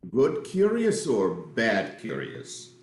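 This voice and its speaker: A man plays a controlling fifty-something crime boss and father in a screenplay reading. His deliberate, insinuating delivery rises and falls dramatically. The room is noticeably echoey. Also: lowish voice, huge pitch swings, gravelly, full character voice. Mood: cool, menacing. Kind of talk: script table read.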